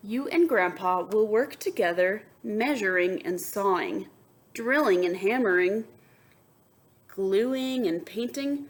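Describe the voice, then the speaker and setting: A woman teacher reading a picture book aloud to young students, expressive, moving between emphatic reading and soft, wondering asides. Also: mid-range voice, slow. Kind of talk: children's read-aloud with asides and questions to students.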